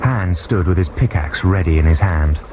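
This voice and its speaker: An actor playing an earnest, excitable young nephew telling his adventure in a radio drama. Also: sits low, moderate pace, natural voice. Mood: dramatic narration. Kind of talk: radio drama narration and dialogue. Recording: noisy radio broadcast, sea effects.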